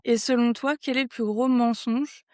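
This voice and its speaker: Relaxed young woman host. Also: on the high side, moderate pace. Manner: relaxed, agreeable, frequent backchannels. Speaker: podcast host. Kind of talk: casual podcast conversation.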